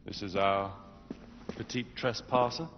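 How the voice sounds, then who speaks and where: A man's voice: on the low side, fairly even intonation, unhurried, natural voice. A man playing a commanding, condescending, calculating military commandant in a video game. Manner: authoritative, condescending. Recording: long reverb, dull, faintly noisy.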